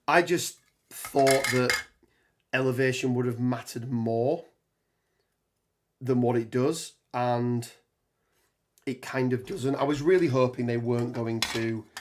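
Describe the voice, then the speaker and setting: A man with a hoarse voice from a cold, chatting on a live stream as he builds. He rambles, restarts sentences and fills pauses with ums. His pitch sits in a middle range. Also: background noise. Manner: rambling, many ums and restarts, reads chat aloud. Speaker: tabletop gaming streamer. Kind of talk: casual live stream chat while building terrain.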